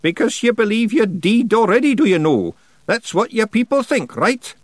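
Man voicing a stern, high-pitched old witch. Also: big pitch swings, normal speed, no room sound. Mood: sharp. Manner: scolding, sardonic. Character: stern, sharp-tongued old witch woman.

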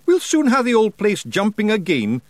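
Rob Anybody, a high, brash, tiny Scottish fairy. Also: male voice, huge pitch swings, moderate pace, no echo. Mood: cheeky. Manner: boisterous and cheeky. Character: tiny brash male fairy warrior chief.

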